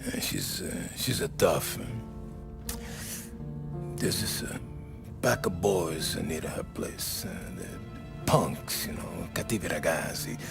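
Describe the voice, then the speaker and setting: Halting, protective Italian father. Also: sits low, lively intonation, gravelly, heavily acted. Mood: worried. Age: middle-aged or older. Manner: hesitant, halting broken English. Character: anxious, protective Italian father and bar owner. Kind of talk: dramatic game cutscene acting. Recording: music behind the voice.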